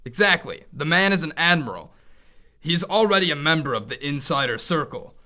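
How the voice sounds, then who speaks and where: A man's voice: fairly high pitch, sing-song, a little fast, bright, forceful tone. A man working as an amateur voice actor, talking casually and rehearsing scripted lines. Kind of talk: casual talk and line rehearsal.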